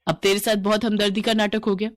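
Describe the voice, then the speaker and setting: A woman's voice: medium pitch, little pitch movement, quick pace, no room sound, natural voice. A woman voicing a cruel village stepmother in a story, with a sneering, taunting manner. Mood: scornful.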